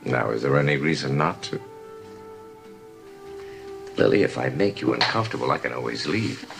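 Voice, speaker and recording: A man's voice: rumbling low, lively intonation, moderate pace, vocal fry, over music, exaggerated voice. An actor playing a suave, manipulative, flirtatious man in an audio drama. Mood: coaxing. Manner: suave, coaxing. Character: smooth-talking, manipulative, flirtatious male schemer.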